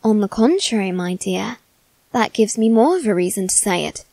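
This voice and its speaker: A woman's voice: mid-range voice, animated, moderate pace, gravelly, darkish tone, no room sound. A woman playing a teasing, haughty young male demon servant in a theatrical, mock-polite ASMR roleplay. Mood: teasing, smug. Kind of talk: ASMR character roleplay addressed to the listener.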